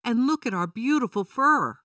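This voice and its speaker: A woman voicing a loyal, earnest male leopard, a gentle husband in a children's storybook, reasoning as he talks. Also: on the high side, lively intonation, normal speed, no echo, studio quality, distant mic, voice pushed up. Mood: dismayed.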